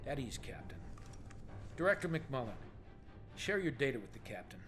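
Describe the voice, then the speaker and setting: An actor playing a stern, commanding military superior in a game cutscene, clipped and authoritative. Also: male voice, mid-range voice, big pitch swings, moderate pace, natural voice. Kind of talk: dramatic game cutscene acting. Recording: music playing behind the voice.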